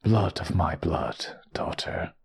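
Deep, whispery, rasping cult leader. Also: male voice, very animated, full character voice. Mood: sinister, grandiose. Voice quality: husky, breathy rasp. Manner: slow, hushed, menacing. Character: sinister cult leader, menacing father craving power. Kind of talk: horror audio drama acting.

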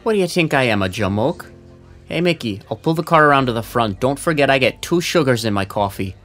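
A man's voice: medium pitch, animated, brisk, fairly bright tone, faint music behind, close to an everyday voice. A man playing a brusque, wisecracking veteran police sergeant in a noir audio drama, slangy and no-nonsense. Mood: bossy, ribbing.

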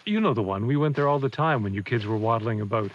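A man playing a bereaved, brooding middle-aged father in an audio drama, speaking in a halting, folksy way. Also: mid-range voice, expressive, slight background noise, natural voice. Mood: eager, folksy. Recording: slightly muffled recording.

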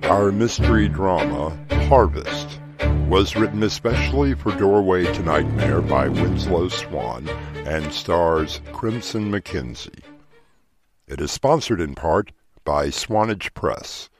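A man hosting a horror audio drama, narrating in a slow, dramatic, portentous way. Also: sits low, lively intonation. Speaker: host and narrator. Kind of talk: ominous horror anthology narration. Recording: music bed behind the voice.

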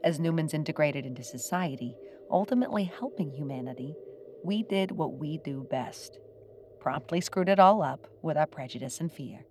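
A woman's voice: deep voice, expressive, normal speed, a little mellow, a little hiss or hum. A woman giving scripted narration in a wry manner. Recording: faint music behind the voice.

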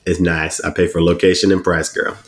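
A man co-hosting a casual chat, blunt and profane, talking with animated swings. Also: sits low, brisk. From Washington DC area. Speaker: podcast co-host.

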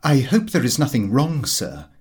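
An earnest middle-aged clerk, acted by a male reader, eager and polite with a lively lift in the voice. He is a fussy, self-satisfied storybook figure with a slightly husky edge. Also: mid-range voice, moderate pace. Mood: nervous, deferential.

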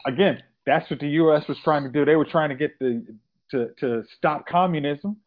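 A man's voice: on the high side, normal speed. A man co-hosting a casual podcast, rambling through long explanations with many restarts. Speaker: podcast co-host. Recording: tinny phone-like sound.

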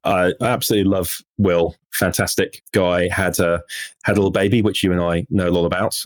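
A man's voice: low voice, fairly even intonation, a little fast, no echo. A man co-hosting a podcast, chatting casually and teasing his co-host.

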